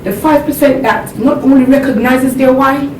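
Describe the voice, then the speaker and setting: A woman from Jamaica speaks earnestly to a seminar audience, her fairly high voice set back from the mic. The room is echoey, and a steady rumble runs underneath. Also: moderate pace. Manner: earnest, laughs along with the audience. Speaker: motivational speaker. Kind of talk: motivational seminar talk. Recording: echoey hall, distant mic, background rumble.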